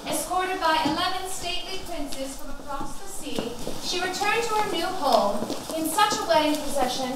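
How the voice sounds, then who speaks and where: A woman narrating a fairy tale as an expressive storyteller. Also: very high-pitched, background noise.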